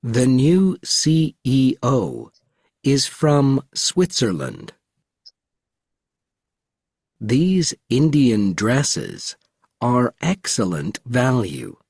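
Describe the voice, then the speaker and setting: Very slow male textbook audio reader. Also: mid-range voice, husky. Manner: very slow, deliberate reading with lively intonation. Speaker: recorded audio narrator.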